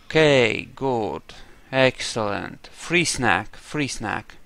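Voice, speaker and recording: A man's voice: medium pitch, animated, extremely slow, a bit bright, no room sound. A man giving casual roleplay commentary as he plays a video game, muttering to himself with playful exclamations. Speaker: gamer, let's play host.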